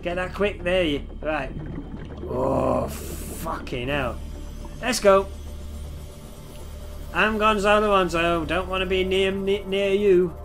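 A man's voice: high-pitched, slow pace, long pauses, music in the background. A man in his thirties with a head cold and a nasal voice, chatting casually over a game and swearing at the creatures on screen. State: head cold, stuffy nose. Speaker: gameplay streamer. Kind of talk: casual gameplay commentary. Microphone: headset.

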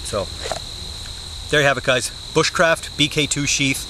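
A man's voice: mid-range voice, a little slow. Young man in the woods, crickets chirping. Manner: relaxed, plain-spoken, many "uh" fillers. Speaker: bushcraft channel host. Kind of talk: casual show-and-tell vlog. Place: woods outdoors, seated on the ground. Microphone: camera mic at close range. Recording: outdoor camera audio with insect noise. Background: crickets and insects.

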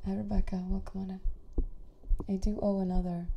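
A woman hosting an ASMR livestream, chatting softly and intimately in a breathy, often whispered voice close to the microphone. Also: medium pitch, flat delivery, a little fast, highs cut off, slight background noise.

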